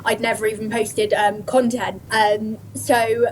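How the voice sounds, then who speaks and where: A woman answering interview questions in an earnest, measured, somewhat hesitant way. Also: on the high side, level tone, crowd noise, low-quality recording.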